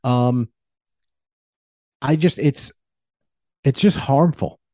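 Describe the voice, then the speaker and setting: An American man co-hosting a podcast, giving earnest, deliberate opinions on the news in a conversational way. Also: medium pitch, dark tone, dry recording, clean studio sound. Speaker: podcast co-host.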